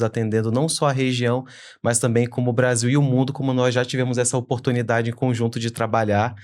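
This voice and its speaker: A man's voice: mid-range voice, darkish tone. A young adult man from Manaus, a lawyer, explaining carefully as a guest on a podcast interview. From Manaus, Amazonas, Brazil.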